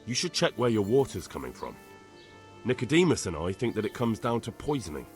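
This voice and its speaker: An actor playing an earnest young man in a video game, calmly reassuring people as he brings help. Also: fairly low pitch, a little fast, faint music behind. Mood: earnest, serious. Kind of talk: dramatic video game dialogue.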